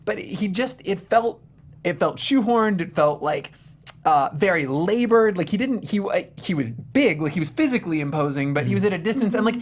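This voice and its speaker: A man co-hosting a casual film chat, slangy and wry, quick to agree. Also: high-pitched, expressive. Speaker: talk show co-host.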